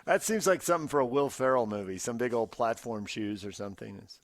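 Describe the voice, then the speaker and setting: A man's voice: medium pitch, a little fast, dry recording, studio quality. A man working as a sports radio host, moving between conversational talk and emphatic station announcing.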